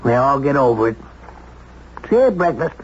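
A man's voice: mid-range voice, sing-song, a little fast, close to an everyday voice. A man acting a brash, domineering businessman in a 1970s radio drama, commanding, teasing and cynical. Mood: brisk. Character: brash, domineering high-stakes businessman, sardonic boss. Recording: muffled 1970s radio broadcast, some hiss.

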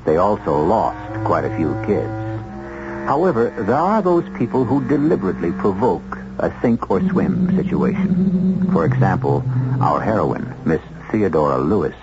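A man's voice: fairly low pitch, huge pitch swings, moderate pace. A man hosting a 1970s radio drama with wry, theatrical scripted narration. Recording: muffled 1970s radio broadcast, music behind.

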